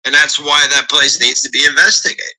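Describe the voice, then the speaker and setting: Brief: worked-up man, cursing rant, very sharp tense tone. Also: fairly high pitch, little pitch movement, brisk, rough recording. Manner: agitated, stumbling and cursing. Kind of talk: heated profane rant.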